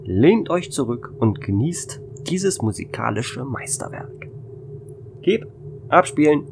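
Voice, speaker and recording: A man's voice: mid-range voice, very animated, takes it slowly, gravelly, heavily acted. A man playing a wry, easygoing space station worker in a German radio play, with a casual, teasing manner. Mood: mock-grand. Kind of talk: amateur radio drama acting. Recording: faint noise and hum.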